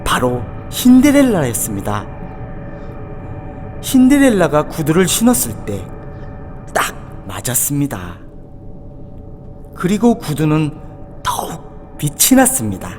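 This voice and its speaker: Animated male fairy-tale narrator. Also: a little high, slow, long pauses, soft background music. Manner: storytelling for children. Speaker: storybook narrator.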